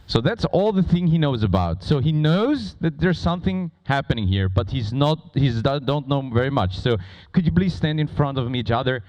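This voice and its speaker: A man who is not a native English speaker moderating a conference on stage, talking informally and playfully with a loud, projecting voice. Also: mid-range voice, very animated, normal speed, hardly any pauses, highs cut off. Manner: playful, bantering with audience. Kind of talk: informal live stage presentation. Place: conference stage before a large audience.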